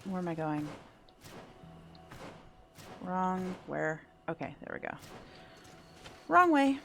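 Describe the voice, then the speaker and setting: Wry, animated low-voiced woman gamer. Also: slow pace, vocal fry. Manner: wry, thinking aloud. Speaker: video game streamer. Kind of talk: casual playthrough commentary. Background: faint game music.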